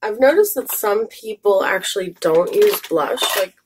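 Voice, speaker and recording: A woman with a scratchy, slightly hoarse voice, chatting casually through a makeup tutorial with rambling asides and fillers. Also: mid-range voice, lively intonation, moderate pace. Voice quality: scratchy, raspy voice. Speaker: makeup vlogger.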